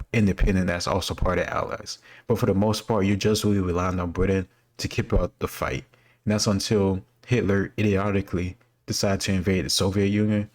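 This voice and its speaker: A man speaking informally and off the cuff, rambling and thinking aloud as he comments on quiz questions. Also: sits low. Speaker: host reacting to history quiz questions.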